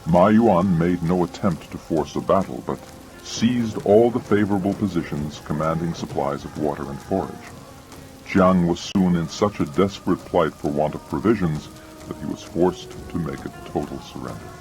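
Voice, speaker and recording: Male audiobook reader, extremely low bass, formal, measured, clear background music. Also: darkish tone, poor audio. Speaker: audiobook narrator. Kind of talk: scripted dramatic reading of war commentary.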